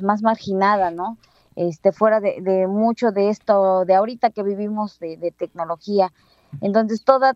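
A woman schoolteacher from Mexico speaking with a Mexican Spanish accent. Her voice sounds mellow and dark, and she pauses often with fillers and restarts as she recalls her experience. The recording is dry and somewhat muffled. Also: mid-range voice, no echo. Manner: hesitant, many fillers and restarts. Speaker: bilingual schoolteacher. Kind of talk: interview storytelling.

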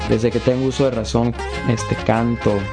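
A young Mexican man of twenty talks casually in a testimonial. His voice is medium in pitch with strong creak, and guitar music plays behind him. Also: flat delivery, moderate pace. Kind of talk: casual interview testimonial in a promo video.

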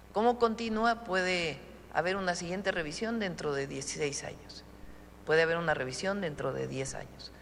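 A Mexican woman holding a press conference, answering questions in a measured, deliberate way with frequent pauses. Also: on the low side, in a large hall, a little hiss or hum.

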